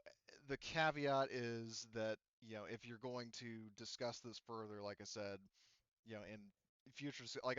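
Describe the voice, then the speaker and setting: A man's voice: medium pitch, fairly bright tone, smooth, dry recording. Male game master, casual and meandering, clean resonant tone, close dry sound with slightly muffled fidelity. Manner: rambling, repeatedly backtracking to rephrase. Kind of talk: casual chat running an online tabletop roleplaying game.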